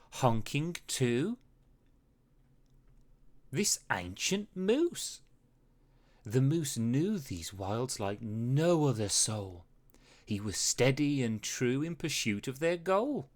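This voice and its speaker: A storyteller reading a rhyming children's story aloud with expressive, dramatic phrasing. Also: mid-range voice. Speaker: storybook reader. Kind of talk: children's read-aloud narration in rhyme.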